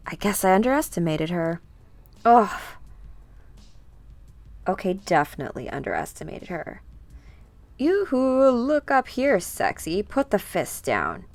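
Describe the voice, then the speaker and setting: A woman giving a dramatic, theatrical read-aloud of a comic book, acting out the characters slowly and deliberately. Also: medium pitch, fairly bright tone, no echo. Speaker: comic book reader performing all characters.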